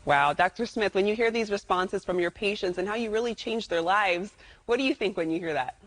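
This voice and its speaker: A woman's voice: medium pitch, fairly quick, low-quality recording. A woman working as a television host, asking questions in a promotional interview segment.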